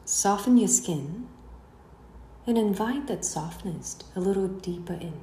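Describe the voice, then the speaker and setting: A woman guiding a meditation in a slow, soothing delivery, letting the ends of her phrases trail away softly. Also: medium pitch, animated. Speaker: guided meditation instructor. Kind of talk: slow guided relaxation narration. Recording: roomy echo, faint background noise.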